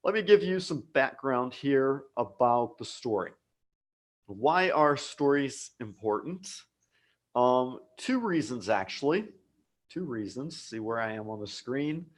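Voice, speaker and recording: A man's voice: mid-range voice, animated, a little slow. An American man teaching a live English lesson online, speaking patiently and repeating key words for learners. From United States. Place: home office abroad.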